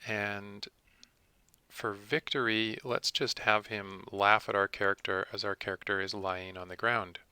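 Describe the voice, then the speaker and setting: A man presenting a software tutorial, explaining each step methodically in a close-miked voice-over. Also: fairly low pitch, level tone, unhurried, clean tone, no room sound. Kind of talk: step-by-step screen tutorial narration.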